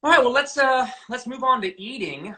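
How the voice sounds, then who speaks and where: A man in his late twenties co-hosting a podcast, upbeat and steering the topics briskly. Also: extremely high pitch, lively intonation, bright, forceful tone. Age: 20s. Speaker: podcast co-host. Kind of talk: casual chat.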